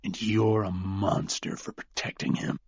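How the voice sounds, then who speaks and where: A man's voice: on the low side, level tone, a little slow, darkish tone, breathy edge, no echo, muffled recording. A man voicing a blunt, defiant father with a wry, snarling edge, in an audiobook.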